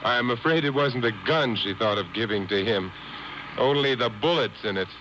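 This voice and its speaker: An actor playing an urbane, wry gentleman detective in a 1950s radio drama, dry and teasing. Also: mid-range voice, moderate pace, close to an everyday voice. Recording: dull, hissy old radio tape.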